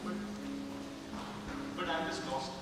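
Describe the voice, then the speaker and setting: A man's voice: slow, far from the mic, lightly acted. A man playing an exhausted, loving and angry older brother in a stage play monologue. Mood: weary, tender. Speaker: amateur stage actor. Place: stage in a large hall. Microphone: distant microphone. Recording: very echoey hall from afar, loud music.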